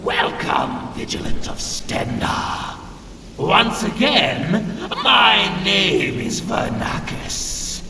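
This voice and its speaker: A shrieking, vengeful demonic villain. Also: male voice, sits high, very animated, gravelly, full character voice. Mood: vengeful gloating. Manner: theatrical, taunting, drawn-out shouting. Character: vengeful boastful demonic villain named Vernacus. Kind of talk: video game mod voice acting. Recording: distant, noisy, echoing cavernous game audio.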